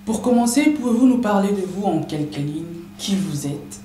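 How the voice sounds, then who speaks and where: A woman's voice: mid-range voice, expressive, moderate pace, music in the background. A woman hosting a TV interview show, polite and formal with light laughter. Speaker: TV show host. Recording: echoey room with background noise.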